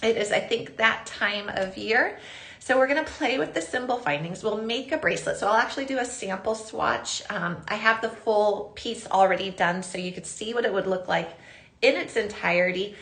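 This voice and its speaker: A woman's voice: mid-range voice, fairly bright tone. Sniffly woman hosting a live craft stream. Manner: chatty, greets viewers by name.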